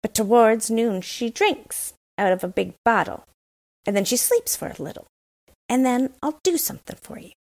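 A woman voicing a bold, wild young robber girl in a storybook reading, with a breathy voice. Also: lively intonation, normal speed. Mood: brash. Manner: blunt, brash, high and loud.

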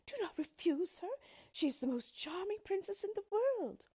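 A woman's voice: medium pitch, wildly swooping pitch, normal speed, dark tone, husky, no echo, studio quality, voice pushed up, heavily acted. A woman voicing a frightened, pleading storybook queen with a wailing, melodramatic delivery. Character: frightened, pleading fairytale queen, anxious mother. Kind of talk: storybook character reading.

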